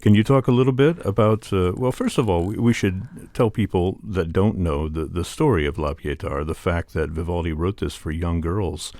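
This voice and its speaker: A man's voice: sits low, moderate pace, hardly any pauses, a little mellow, dry recording. A man hosting a conversational arts interview, putting thoughtful, loosely phrased questions to a guest. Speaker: radio interviewer, host.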